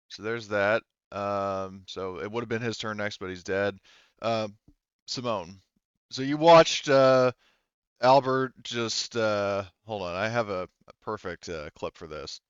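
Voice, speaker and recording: A man's voice: lowish voice, clear, resonant tone, no echo, lo-fi sound. Male game master, clean resonant tone, casual and profane, close dry sound. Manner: profane, informal. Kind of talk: casual tabletop game narration, online session.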